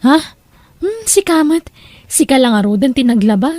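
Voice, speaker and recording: Woman acting patient young wife, high-pitched, Ilocano accent, affectionate yet protesting, dry close sound, domestic drama. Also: big pitch swings, moderate pace, mellow, no room sound. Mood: gentle, protesting. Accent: Filipino, Ilocano.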